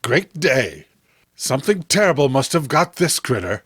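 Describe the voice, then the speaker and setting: Man acting a grave, steady sheriff's deputy. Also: mid-range voice, normal speed, slightly rough, dry recording, studio quality. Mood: alarmed. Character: steady, plain-spoken male sheriff's deputy on a manhunt.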